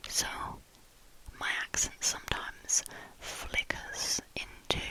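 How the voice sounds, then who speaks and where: Young woman, southern English accent, ASMR whisper, repeats trigger words, chatty. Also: rough recording. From southern England. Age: 20s. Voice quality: soft whisper throughout. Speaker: ASMR creator.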